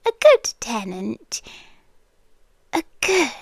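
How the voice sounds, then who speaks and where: Woman playing a slow, high-voiced British yandere landlady. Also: huge pitch swings, no echo, studio quality, exaggerated voice. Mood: doting, controlling. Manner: breathy, often dropping to a whisper, coaxing. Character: possessive yandere landlady, doting, controlling, quietly menacing. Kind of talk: yandere girlfriend ASMR roleplay, slow and intimate. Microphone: close ASMR microphone, dry.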